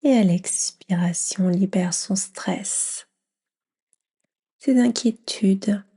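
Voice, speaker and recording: A woman leading a guided yoga nidra meditation in a gentle, soothing near-whisper with long pauses. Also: mid-range voice, slightly rough.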